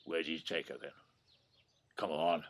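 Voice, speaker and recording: An actor playing a wry, wistful old English grandfather in an audio drama, rambling affectionately in a gravelly, husky voice. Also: extremely low pitch, flat delivery, moderate pace, fairly bright tone. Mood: teasing, amused. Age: 70s or older. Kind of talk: naturalistic audio drama acting. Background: birdsong.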